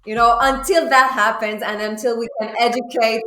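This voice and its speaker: A woman's voice: on the high side, fairly even intonation, moderate pace, bright, forceful tone, slight background noise. A woman chatting casually in a blunt, outspoken manner. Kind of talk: casual chat. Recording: echoey room sound.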